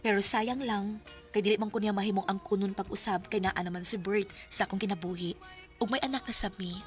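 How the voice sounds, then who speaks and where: A woman's voice: medium pitch, fairly even intonation, a little fast, faint music behind, natural voice. A woman with a Bisaya Filipino accent playing a torn, sorrowful young wife in an emotive, plaintive radio drama style. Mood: wistful narration.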